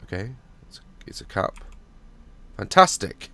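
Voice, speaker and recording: Young man, hoarse, gravelly, animated pitch, nervous horror-game banter, headset mic. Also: unhurried, a little hiss or hum. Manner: jumpy, swearing, nervous joking. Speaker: gaming YouTuber. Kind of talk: horror game let's play commentary.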